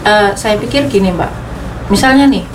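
A woman's voice: mid-range voice, normal speed, background noise. A woman speaking, explaining earnestly with many fillers. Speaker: guest speaker, regional official. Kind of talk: panel discussion.

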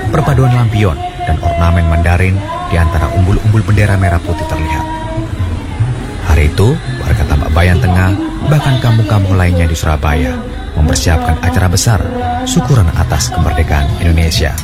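A man narrating a television feature in a measured, formal manner as the reporter. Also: low-pitched, expressive. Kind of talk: scripted documentary narration. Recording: voice-over with loud music behind.

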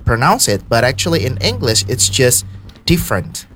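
A man whose first language is Indonesian, co-hosting a podcast in English as casual chat. He is animated, laughs often and acts out little dialogues. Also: mid-range voice, moderate pace, faint music behind. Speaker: podcast co-host.

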